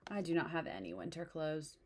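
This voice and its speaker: A woman's voice: sits low, brisk, a little mellow, slight background noise. A woman voicing a grumpy, reluctant male toad in a storybook, with a blunt, grumbling way of protesting. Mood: grumpy refusal. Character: grumpy, reluctant male toad who loves his bed.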